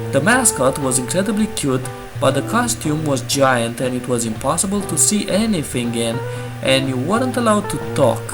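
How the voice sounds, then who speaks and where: A man's voice: fairly high pitch, low-quality recording. A man hosting a channel and reading entitled-parent stories aloud in a casual, jokey way. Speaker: host. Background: guitar music.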